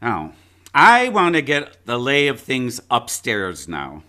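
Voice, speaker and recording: An actor playing a brisk, patronizing male county attorney in a live radio play, recorded at home over a video call. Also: medium pitch, huge pitch swings, sharp tone, exaggerated voice. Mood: probing. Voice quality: patronizing, chuckling. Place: home closet or desk. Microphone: home mic over video call.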